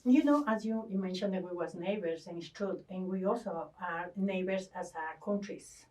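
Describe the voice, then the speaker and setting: A woman's voice: fairly low pitch, normal speed, a little mellow, distant mic, poor audio. A woman from Ecuador, a guest talking earnestly about spirituality, often stopping to search for words. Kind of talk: spiritual conversation.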